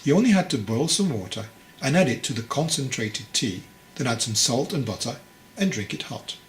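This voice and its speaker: A man's voice: medium pitch, animated, moderate pace. Man narrating a story, slightly noisy recording. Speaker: author reading own book.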